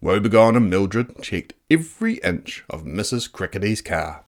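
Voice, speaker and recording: A narrator reading a children's book aloud in a dramatic, playful, expressive style. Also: deep voice, normal speed. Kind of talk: expressive storybook read-aloud.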